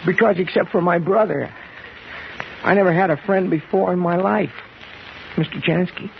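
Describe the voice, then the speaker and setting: An actor playing a scrappy, insecure runaway street boy, with halting, slangy talk in a 1950s radio drama. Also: high voice, fairly even intonation, gravelly. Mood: grateful, disbelieving. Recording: muffled, hissy 1950s radio recording.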